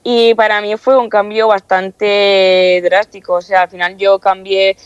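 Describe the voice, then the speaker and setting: A woman's voice: mid-range voice, level tone, unhurried, clear, resonant tone, no room sound, muffled recording. Young student woman rambling with lots of fillers, dry close sound. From Castilla-La Mancha, Spain. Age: young adult. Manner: chatty, many fillers and restarts. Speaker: student council member, guest. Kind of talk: interview answers.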